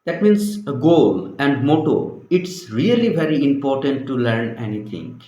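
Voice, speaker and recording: A man with a Bangladeshi accent, an English trainer explaining his teaching in halting talk full of fillers. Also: mid-range voice, big pitch swings, mellow. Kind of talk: unscripted conversation. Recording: echoey room.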